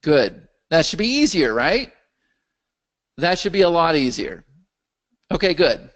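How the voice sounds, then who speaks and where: A man hosting a live question-and-answer video call for his software's users. His voice is worn from a long day of talking, and he keeps checking that his listeners are following. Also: fairly high pitch, a little slow. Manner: animated, checks in with the audience often. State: losing his voice. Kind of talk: live webinar Q&A with screen share.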